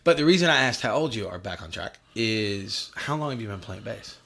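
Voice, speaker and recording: Man, 40s, podcast host; low-ish voice, loose, crude, self-mocking banter. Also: animated, fairly quick, creaky, fairly bright tone, a little hiss or hum. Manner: profane, joking, self-deprecating. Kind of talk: casual chat.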